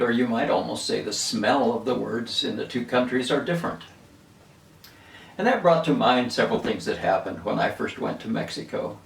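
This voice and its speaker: A man's voice: medium pitch, gravelly. A man in his seventies who grew up in Venezuela, unhurriedly reminiscing and explaining words in a casual storytelling way. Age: 70s. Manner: unhurried, reminiscing, chuckles at his own stories. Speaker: linguistics video host. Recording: roomy echo, mic at a distance.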